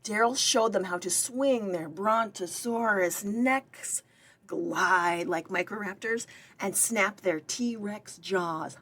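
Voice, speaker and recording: Woman reading a children's story, slightly husky. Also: medium pitch, slow pace. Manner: expressive, with chatty asides to young listeners. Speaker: library director and former children's librarian reading aloud. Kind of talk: children's story-time read-aloud.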